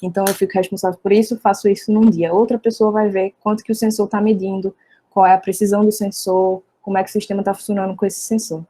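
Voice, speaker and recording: Mellow-voiced woman, fairly even intonation. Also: mid-range voice, quick pace. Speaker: guest panelist, engineer. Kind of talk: casual explanation in online panel.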